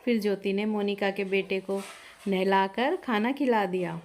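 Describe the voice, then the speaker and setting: A woman's voice: medium pitch, measured pace. A woman reading a scripted family story as its narrator.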